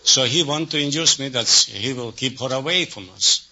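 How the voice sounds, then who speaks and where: A man contestant on an old radio quiz, telling anecdotes in halting, earnest, non-idiomatic English. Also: medium pitch, bright, forceful tone. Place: radio studio. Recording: dull, noisy vintage radio broadcast.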